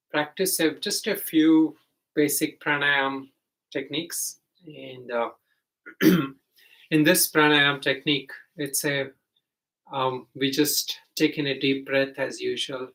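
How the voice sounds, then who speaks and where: A man with a medium-pitched voice leads a guided meditation. He explains each step simply and gently, pausing and hesitating as he goes, in the manner of a teacher instructing students. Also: low-quality recording.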